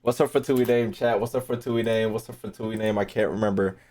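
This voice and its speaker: A man's voice: on the low side, fairly even intonation, fairly quick, darkish tone. A young man giving casual, slangy live-stream commentary, swearing freely and repeating phrases as he thinks aloud. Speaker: streamer reacting to game voice lines.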